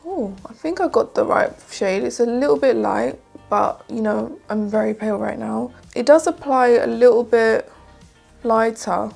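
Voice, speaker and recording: A young woman in her twenties, a beauty vlogger chatting to camera while reviewing makeup, her voice croaky in the early morning. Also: on the high side, moderate pace, a little mellow, soft background music. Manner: chatty, rambling, addresses viewers as guys. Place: plain indoor room with plants.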